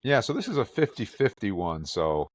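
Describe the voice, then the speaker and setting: A man's voice: fairly low pitch, big pitch swings, normal speed, no room sound. A man hosting a podcast, chatting casually and calling on each panelist in turn. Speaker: podcast host and moderator. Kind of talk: casual chat.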